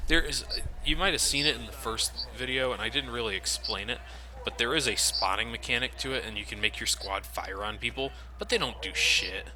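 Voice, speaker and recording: A man's voice: mid-range voice, a little fast, pushed and bright, a little hiss or hum. A man hosting a let's play with casual, sardonic, sweary game commentary.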